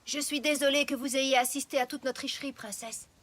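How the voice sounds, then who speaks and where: A woman's voice: high voice, fairly even intonation, a little fast, fairly bright tone. A woman voicing a stubborn, plucky young female pony in a French cartoon dub, feisty and plain-spoken. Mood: contrite.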